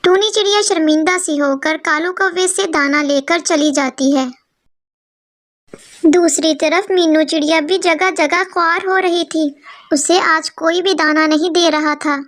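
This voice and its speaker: A woman's voice: very high voice, steady tone, unhurried, fairly bright tone. A woman narrating a scripted children's story.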